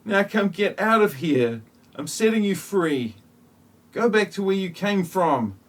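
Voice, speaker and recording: A man playing a solemn, grandiose messianic figure who judges humanity, speaking in a husky, stilted, portentous lecturing manner. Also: high voice, very animated, measured pace, heavily acted. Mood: commanding.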